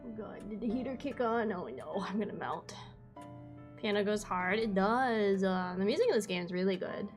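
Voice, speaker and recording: A woman's voice: mid-range voice, lively intonation, moderate pace. A woman streaming a game live who chats casually, jokes and laughs often. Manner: chatty and joking, laughs often. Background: game music.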